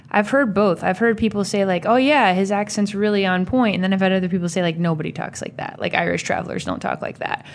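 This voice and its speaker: A woman in a casual, conversational film discussion as a co-host, speaking informally. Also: lowish voice.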